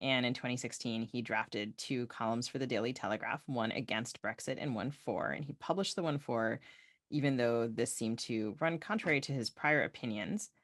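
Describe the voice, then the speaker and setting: A woman's voice: rumbling low, normal speed, no room sound. A woman hosting a podcast, interviewing a guest about books in a conversational way. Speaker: podcast host.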